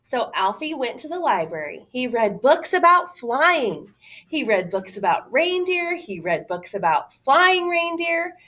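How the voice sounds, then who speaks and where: A woman reading a children's storybook aloud in an expressive, dramatic way, like a teacher to young listeners. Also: sits high, unhurried. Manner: dramatic, exclaiming.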